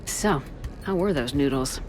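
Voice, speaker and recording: A woman's voice: a bass voice, lively intonation, a little slow, a little mellow, faint music behind, low-quality recording. A woman playing a tough sci-fi military commander in a video game, speaking in a dry, controlled, authoritative way. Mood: firm, resolute.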